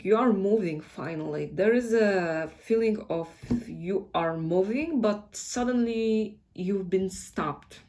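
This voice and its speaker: A woman's voice: medium pitch, a little mellow. A woman with a Polish accent, a native Polish speaker, giving a casual tarot reading in a hesitant way and often searching for words. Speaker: tarot reader.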